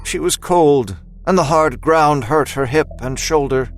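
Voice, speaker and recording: A man with a fairly high voice narrates a scripted drama. His phrasing is deliberate and literary, and faint music plays behind him.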